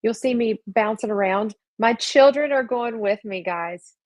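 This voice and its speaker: A woman's voice: fairly high pitch, a little slow, dry recording. A young woman chatting casually on a video call, upbeat and talkative, speaking straight to the viewers. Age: young adult. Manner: chatty, upbeat, addresses viewers as guys and y'all. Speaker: guest. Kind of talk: casual live-stream chat.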